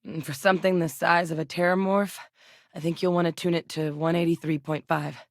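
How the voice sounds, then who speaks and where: An actress playing a steady, determined female military scientist in a video game, with a serious, matter-of-fact manner. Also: lowish voice, fairly quick, dry recording, studio quality, natural voice. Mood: measured, serious.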